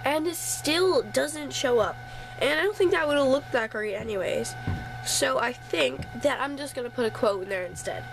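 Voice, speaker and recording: A woman's voice: sits high, animated, normal speed, creaky. A woman with a congested, stuffy nose chatting casually through a home craft tutorial, with apologetic asides as she works. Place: home craft table, indoors. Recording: faint sump pump hum behind.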